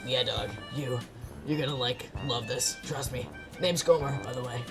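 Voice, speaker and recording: A woman's voice: rumbling low, moderate pace, music in the background, pitched below the performer's own voice. A woman voicing a disheveled orange cat, a slangy, pushy, conspiratorial street hustler in a visual novel.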